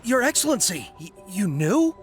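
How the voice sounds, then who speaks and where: Actor playing loyal young soldier, fervent, earnest, widely varying pitch, deferential, game dialogue over faint music. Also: sing-song, moderate pace, lightly acted. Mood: pleading, impassioned. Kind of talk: scripted game voice acting.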